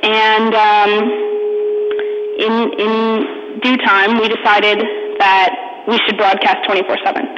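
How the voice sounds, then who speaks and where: A woman giving an informal talk, telling a personal story in a halting, amused manner. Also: mid-range voice, flat delivery, lo-fi sound, noisy background. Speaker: conference speaker, radio hacker community member. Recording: echoey hall with long reverb.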